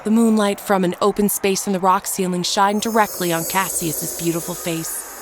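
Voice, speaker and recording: A woman playing a ruthless, unrepentant ageless murderess, narrating her tale in a measured, dramatic audio drama style. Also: mid-range voice, fairly even intonation, a little hiss or hum, lightly acted. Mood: cold, gloating. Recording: narration over prominent background music. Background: music.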